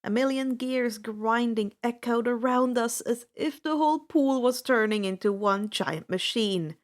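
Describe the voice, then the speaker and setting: A woman reading a story aloud in an expressive first-person narration. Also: on the high side, a little slow. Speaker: fan fiction narrator.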